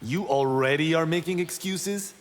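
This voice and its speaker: A man's voice: on the high side, normal speed, natural voice. A man playing a proud, eager young martial arts monk in a video game, boastful and self-assured. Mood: curious, casual. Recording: long hall reverb.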